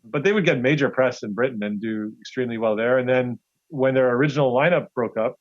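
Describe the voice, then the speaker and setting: A man's voice: mid-range voice, fast, no echo. A man speaking casually as an interview guest, telling anecdotes and acting out what people say. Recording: slightly dull, lo-fi audio.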